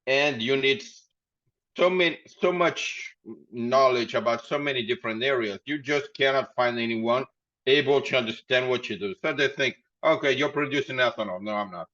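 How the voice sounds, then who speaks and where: A man's voice: medium pitch, moderate pace, bright, forceful tone. A man, a company founder telling his story in a casual interview, rambling and laughing often. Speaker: podcast guest, company founder. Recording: dull lo-fi sound.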